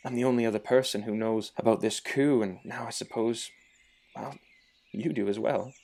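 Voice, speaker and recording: Man playing a mellow-voiced, loyal young knight. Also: mid-range voice, steady tone, moderate pace, close to an everyday voice. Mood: serious, explaining. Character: loyal, level-headed young knight, prince's confidant. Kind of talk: audio drama acting.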